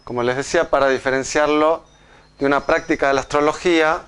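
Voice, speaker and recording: A man's voice: on the high side, fairly even intonation, a bit bright, clear, resonant tone. A man with an Argentine accent giving a live talk to an audience, often restarting phrases and checking in with "¿no?". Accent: Argentine Spanish. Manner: halting, with many restarts and checks of "¿no?". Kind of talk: live public lecture. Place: lecture room with an audience.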